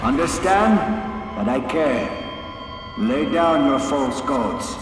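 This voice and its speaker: Slow messianic male villain, heavily echoing. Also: mid-range voice, animated. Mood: soothing, preaching. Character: soothing, messianic male mind-controlling cult villain. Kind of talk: slow, preacherly video game villain acting. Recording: echoing hall-like reverb, game music.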